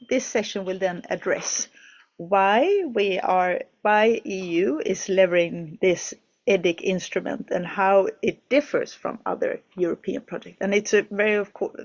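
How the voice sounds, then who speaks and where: A woman's voice: mid-range voice, highs cut off. A woman hosting an online project session from a studio, talking hesitantly with many fillers and restarts. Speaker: webinar host.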